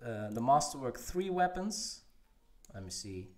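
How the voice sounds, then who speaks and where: A man chats casually with viewers during a game livestream. His voice sits at an ordinary middle pitch. He talks loosely and stops often with fillers as he checks things in the game. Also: sing-song, a little slow, darkish tone. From Netherlands. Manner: answers chat questions while playing, hesitant with many fillers. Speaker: game streamer.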